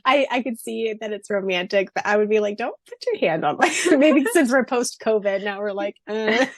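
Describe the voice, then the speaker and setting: A woman's voice: medium pitch, moderate pace, no echo, clean studio sound. A woman co-hosting a podcast in a chatty, informal book discussion, giggly as she talks. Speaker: podcast co-host. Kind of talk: casual book discussion chat.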